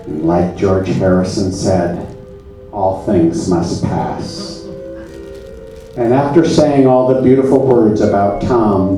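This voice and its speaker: A man performing on a theater stage in a comedy show, speaking with deadpan, mock-earnest solemnity as a presenter. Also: sits low. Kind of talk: comedy stage show, solemn story narration and audience address. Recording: echoey room, far from the mic, music.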